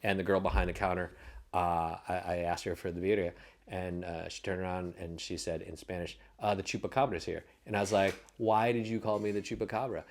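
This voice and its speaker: A man co-hosting a comedy podcast, chatting casually and telling animated, joking stories. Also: low-pitched, fairly quick. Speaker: comedian co-host.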